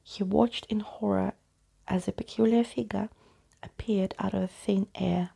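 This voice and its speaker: A woman reading a story aloud in a soft ASMR style, hushed and often half-whispering. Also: mid-range voice, fairly even intonation, a little slow, creaky, breathy edge.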